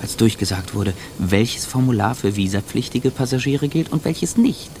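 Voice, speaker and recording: A man's voice: medium pitch, animated, normal speed, a little mellow, close to an everyday voice. A man playing a bitter, anxious passenger, delivering a sardonic, contemptuous interior monologue in a radio play. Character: bitter, anxious man seething silently on a plane. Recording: faint background ambience noise.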